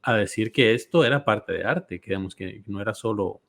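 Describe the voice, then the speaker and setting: Low-voiced man, halting. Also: creaky, fairly bright tone. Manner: halting, restarts phrases. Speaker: interviewer, podcast host. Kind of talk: casual interview chat.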